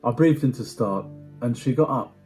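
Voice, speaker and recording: A man giving a dramatic first-person story reading in a hushed, measured way with deliberate pauses. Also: mid-range voice, faint music behind. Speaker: amateur actor performing a story reading.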